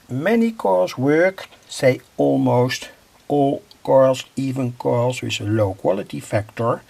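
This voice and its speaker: Slow gravelly man at a workbench. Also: fairly high pitch, darkish tone. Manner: halting, with pauses, fillers and self-corrections. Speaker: radio hobbyist demonstrating a circuit. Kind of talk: unscripted workbench demonstration.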